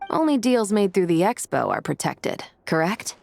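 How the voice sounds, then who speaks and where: A woman's voice: medium pitch, very animated, normal speed, vocal fry, darkish tone. An actress playing a stern, dutiful female investigator in a video game, speaking firmly and directly. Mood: resolute. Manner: firm, serious, direct. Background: background music.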